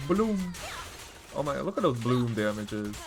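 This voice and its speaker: A man's voice: medium pitch, sing-song, measured pace. A man livestreaming a game, reacting casually and reading on-screen text aloud. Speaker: game streamer. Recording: faint game music behind.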